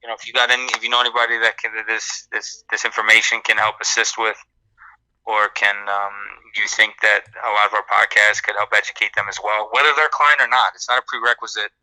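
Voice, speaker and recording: A man talks fast in a bright, cutting voice. He stays low and even in pitch as he explains financial answers step by step. The sound is dry and close. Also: no room sound, poor audio. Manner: explanatory, repeats words when restarting. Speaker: credit repair co-host. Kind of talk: live podcast Q&A.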